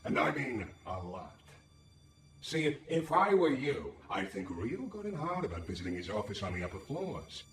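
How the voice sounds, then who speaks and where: An actor voicing a sly, streetwise, wisecracking anomaly in a video game, cocky and sardonic with folksy slang. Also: male voice, medium pitch, very animated, normal speed. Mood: sly, conspiratorial. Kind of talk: video game character acting. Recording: roomy echo, slightly distant.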